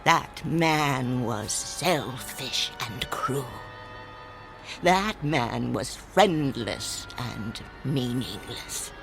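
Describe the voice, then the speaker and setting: Slow-speaking, solemn old fortune teller. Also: female voice, extremely low pitch, lively intonation, gravelly, music in the background, exaggerated voice. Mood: solemn, wise. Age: older. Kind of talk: radio drama acting.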